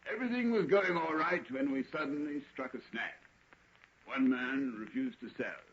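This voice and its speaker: An actor playing an affable, chatty gentleman architect in an old radio drama, in a genteel, conversational manner. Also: mid-range voice, moderate pace, natural voice. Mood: chatty, earnest. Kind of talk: 1940s radio acting. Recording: noisy, dull old radio recording.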